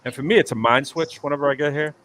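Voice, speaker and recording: A man's voice: a little high, fast talker, fairly bright tone, a little hiss or hum. A man hosting a live stream show, talking casually and telling long rambling stories with lots of fillers and restarts. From United States East Coast. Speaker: show host. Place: hotel area in New York City.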